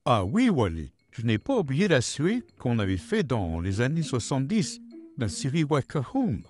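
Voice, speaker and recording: A man voicing a friendly, loyal puppet dog in a comic dub, effusive and animated. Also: medium pitch, moderate pace, faint music behind. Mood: nostalgic.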